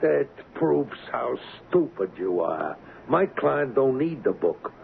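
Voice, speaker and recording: Gravelly, cocky street-tough gangster. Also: male voice, medium pitch, big pitch swings, slow, phone-quality sound, exaggerated voice. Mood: cocky, menacing. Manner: slangy, taunting, rough grammar. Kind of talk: old-time radio crime drama acting. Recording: thin, band-limited, hissy old radio recording.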